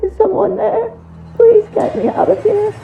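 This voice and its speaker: A woman playing a high, croaking, terrified older captive. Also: fairly even intonation, a little slow, full character voice. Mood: frightened pleading. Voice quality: raspy, croaking. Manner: desperate, pleading. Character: frightened bound older woman, about sixty, pleading for help. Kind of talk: dramatised horror audio story. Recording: muffled lo-fi sound with music behind.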